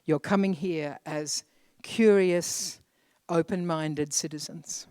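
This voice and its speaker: Calm instructive facilitator, dry sound. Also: mid-range voice, takes it slowly, clean tone, no room sound. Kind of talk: briefing a group.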